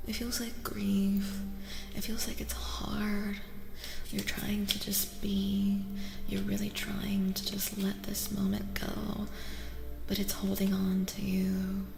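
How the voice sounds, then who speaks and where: A woman reads tarot in a slow, soft ASMR style, often slipping into a breathy whisper with a creaky edge. She repeats herself in a reassuring way, close to the mic, her voice trailing long reverb over music. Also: medium pitch, completely flat delivery. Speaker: ASMR host and tarot reader.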